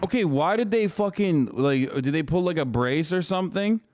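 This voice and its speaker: A man in his thirties reacts on a live stream, swearing and incredulous, in a slangy casual way. His voice is smooth and a touch high, and the close mic is very dry. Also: lively intonation, normal speed, a little mellow, no room sound. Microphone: streaming desk mic.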